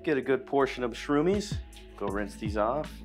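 A young man talking viewers through a recipe in a small studio kitchen set, relaxed and chatty, with music playing underneath. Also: medium pitch, normal speed. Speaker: cooking vlogger. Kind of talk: casual cooking demonstration. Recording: clear background music under voice.

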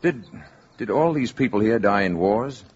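An actor playing a calm, courteous alien posing as an ordinary man in a 1950s radio drama, speaking in a measured, assured way. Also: on the low side, husky. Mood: polite, curious. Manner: polite, measured, quietly assured. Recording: dull, noisy 1950s radio recording.

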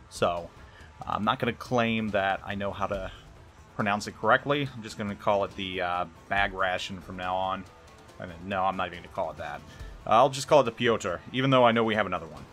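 A man's voice: on the low side, sharp tone. A man giving casual gameplay commentary as a game reviewer, rambling and hesitant, often repeating words.

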